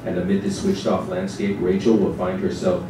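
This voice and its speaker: A man narrating a literary text in a staged dramatic reading, with a measured, deliberate delivery. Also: deep voice, fairly even intonation, gravelly. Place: small theatre stage with seated audience. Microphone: distant camera microphone. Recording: echoey stage recording from far off, noisy.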